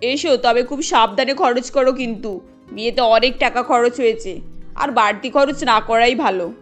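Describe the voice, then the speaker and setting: A woman's voice: a little high, normal speed, faint music behind, natural voice. A woman voicing a stingy, bossy elderly mother-in-law in a cartoon, with a dismissive way of talking. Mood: brisk, thrifty. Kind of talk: cartoon dialogue acting.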